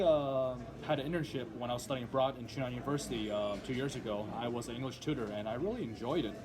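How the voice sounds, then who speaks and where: A young man whose first language is Cantonese, chatting casually as a linguistics student with frequent ums and uhs. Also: mid-range voice. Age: young adult. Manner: relaxed, frequent fillers. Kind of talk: casual chat.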